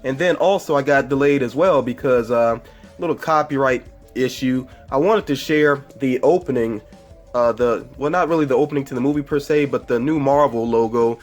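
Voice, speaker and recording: A man's voice: medium pitch, moderate pace, soft background music. Congested man chatting through a movie review. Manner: rambling and chatty, with frequent fillers and self-corrections. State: congested from a cold. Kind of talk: casual spoiler movie review.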